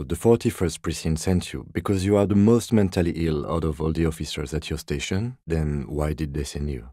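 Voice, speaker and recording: Very deep, deadpan police detective. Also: male voice, slightly rough, no room sound, clean studio sound. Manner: measured, restrained, deadpan, formal. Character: composed, dry-witted middle-aged male police detective. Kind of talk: video game character dialogue.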